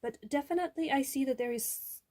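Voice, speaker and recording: A woman's voice: sits high, a little fast, darkish tone. A woman giving an intuitive tarot reading straight to the viewer, restating each point in several ways for emphasis. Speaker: tarot reader.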